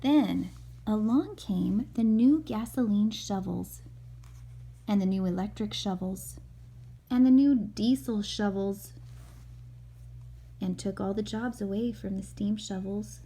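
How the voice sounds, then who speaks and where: A woman's voice: medium pitch, takes it slowly, pauses often, warm tone, breathy edge. A woman reading a picture book aloud to her young child, with casual asides as she goes.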